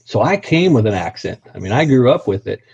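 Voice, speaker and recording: A man's voice: mid-range voice, sing-song, fairly quick, gravelly, darkish tone, slightly rough. A man from Missouri with a slight Missouri accent, chatting in a friendly, easygoing way as an actor being interviewed. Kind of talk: casual interview chat. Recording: dull lo-fi sound.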